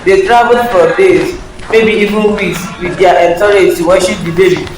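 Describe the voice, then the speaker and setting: Young male narrator, high voice, devotional script, long hall reverb, faint music behind. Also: fairly even intonation, moderate pace. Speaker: narrator in a school nativity play.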